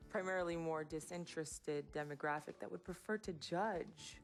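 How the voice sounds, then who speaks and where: A woman's voice: on the low side, moderate pace, lightly acted. An actress playing a proud, articulate American girlfriend in a video game drama, with a pointed, wordy, cutting manner. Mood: coolly condescending. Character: articulate, proud girlfriend who will not back down.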